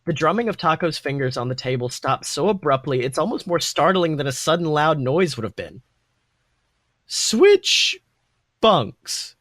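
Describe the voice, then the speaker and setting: A man reading fan fiction aloud as a podcast host, with expressive story narration. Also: on the high side, fairly quick, hardly any pauses, no room sound, studio quality.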